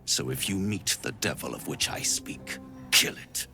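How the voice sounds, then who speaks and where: An actor playing an urbane, scheming devil in a video game, speaking in a theatrical, grandiloquent and menacing manner. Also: male voice, a bass voice, expressive, unhurried, pushed and bright, soft background music, exaggerated voice. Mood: grave warning. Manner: theatrical, silky, verse-quoting, grandiloquent. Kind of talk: video game dramatic acting.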